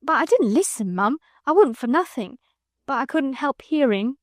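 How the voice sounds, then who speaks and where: A frightened young scullery maid, put on by a woman reading a storybook, pleads in a high, quavering voice, sniffling between words. The sound is close and dry. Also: animated, moderate pace, no room sound. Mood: frightened pleading. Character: tearful timid young scullery maid, cockney.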